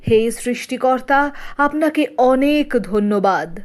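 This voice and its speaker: Lady doing a bossy harsh village dad for a kids cartoon, kinda high voice, blunt. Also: lively intonation, a little slow. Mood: delighted. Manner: blunt, commanding. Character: harsh, domineering village father obsessed with sons. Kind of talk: children's cartoon character acting.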